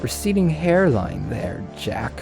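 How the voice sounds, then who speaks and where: A man's voice: medium pitch, sing-song, takes it slowly, gravelly, full character voice. Man as a whiny, mocking immortal trickster, music behind. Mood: teasing. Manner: petulant, mocking. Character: whiny, teasing, all-powerful male trickster being.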